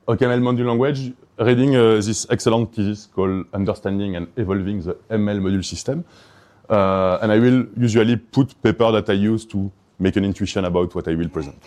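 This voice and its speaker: A man's voice: fairly low pitch, darkish tone. Belgian-accented man giving a conference talk. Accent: Belgian French. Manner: hesitant, many fillers and restarts, enthusiastic. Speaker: conference speaker.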